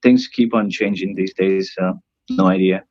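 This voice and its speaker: A man's voice: low voice, flat delivery, normal speed, darkish tone, no echo, highs cut off, soft background music. A young man from northern India whose first language is Nepali, a cruise ship band singer talking hesitantly with many fillers in a casual interview, his voice tired and worn.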